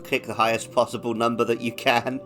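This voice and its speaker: Male co-host, average-pitched voice, relaxed podcast chat, soft music behind. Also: a little fast. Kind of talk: casual video game discussion.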